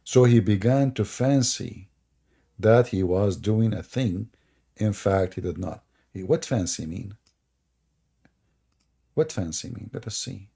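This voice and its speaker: Arabic-accented guy on a live stream, mellow husky voice, joking and mocking. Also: fairly low pitch, slow pace, long pauses. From Middle East. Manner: sarcastic, teasing, jokey.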